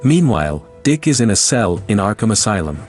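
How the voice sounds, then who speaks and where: A man's voice: fairly low pitch, sing-song, fairly quick, a little mellow. A man giving scripted narration for an audio drama. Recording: music and effects behind.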